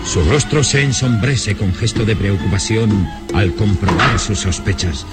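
A man's voice: on the low side, unhurried, over loud music. A man narrating a radio adventure serial in a grave, literary, suspenseful manner.

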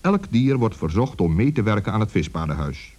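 A man's voice: on the low side, sing-song, fairly quick, darkish tone, highs cut off. A man narrating a scripted children's story as its storyteller.

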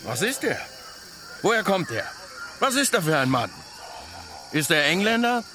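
Sharp, raised-voice outlaw captain. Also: male voice, high voice, sing-song, moderate pace, a little hiss or hum, exaggerated voice. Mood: probing, scornful. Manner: commanding, curt. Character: domineering, brusque English outlaw captain. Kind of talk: radio play acting.

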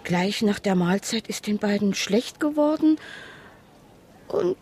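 A woman playing a shaken chambermaid in a radio crime drama, speaking in a halting, subdued way. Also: medium pitch, expressive, a little mellow, slightly rough, full character voice. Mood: shaken, hesitant. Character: shaken, hesitant female chambermaid in a grand house.

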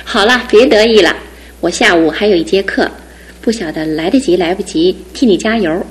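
A woman's voice: medium pitch, slightly rough. An actress voicing an independent, teasing young female student about to graduate, in a studio radio drama. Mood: brisk. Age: young adult. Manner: teasing and brisk, then tender. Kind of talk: 1960s-70s studio radio drama acting.

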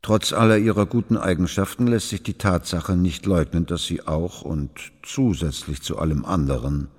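An actor playing a stern, patronising royal councillor in a radio play, speaking in a formal, measured, admonishing way. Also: male voice, low voice, darkish tone, slightly rough. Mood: stern, cautious. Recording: echoing hall with long reverb.

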